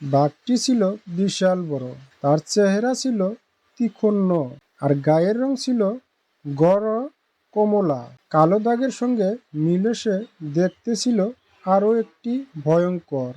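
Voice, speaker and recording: A woman narrating a scripted village fable as a storyteller, in a measured, earnest manner. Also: medium pitch, expressive, warm tone, low-quality recording.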